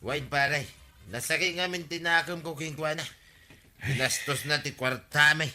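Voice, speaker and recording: A man's voice: a little high, fairly even intonation, a little slow, sharp tone. A man playing an aggrieved, complaining villager with an Ilocano accent in a radio comedy drama, speaking plaintively and emphatically.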